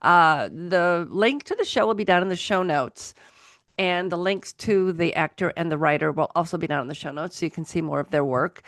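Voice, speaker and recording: A woman's voice: fairly low pitch, moderate pace, a bit bright, no echo, studio quality. A woman hosting a podcast in casual chat, rambling and interrupting herself, with a bit of a cold. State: has a cold. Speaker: podcast host.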